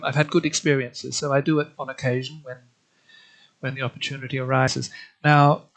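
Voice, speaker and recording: Fifties man, Australian-born, slight German tinge, measured and hesitant interview guest. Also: medium pitch, a bit bright, poor audio. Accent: slight German influence on Australian English. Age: 50s. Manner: thoughtful, hesitant, many ums and restarts. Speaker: guest, literary translator. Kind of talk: interview answers.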